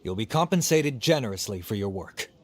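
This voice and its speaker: A man's voice: fairly low pitch, animated, fairly quick. A man voicing an adult inn boss in a video game, genial and generous, with an easygoing, wry manner. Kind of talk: animated game dialogue. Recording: game music behind the voice.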